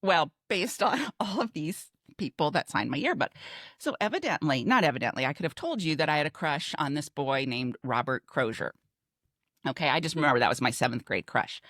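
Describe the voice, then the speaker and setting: A woman's voice: sits low, a bit bright, no room sound, studio quality. A middle-aged American woman co-hosting a podcast, telling stories in casual conversation. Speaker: podcast co-host. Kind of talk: casual chat, storytelling.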